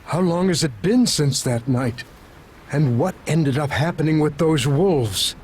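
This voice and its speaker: A male actor plays a gentle-mannered doctor and anxious father in a video game. His voice sits a little high for a man, and he speaks politely and earnestly, with some hesitation. A faint noise lies behind him. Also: unhurried, natural voice. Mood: troubled, earnest. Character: earnest town doctor and worried father, middle-aged man. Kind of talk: video game cutscene acting.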